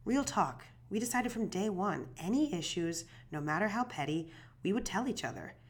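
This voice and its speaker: A woman's voice: medium pitch, lively intonation, moderate pace. An actress playing a young woman true crime podcast host, talking in an anxious, rambling, swearing, self-deprecating way. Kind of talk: audio drama monologue.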